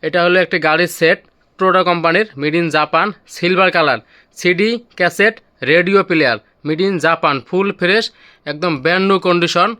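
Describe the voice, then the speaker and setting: A man's voice: high-pitched, fairly even intonation, moderate pace, bright, forceful tone. A man presenting goods for sale in an informal demonstration video, with repetitive patter that keeps urging viewers to look. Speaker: seller presenting used car stereo gear.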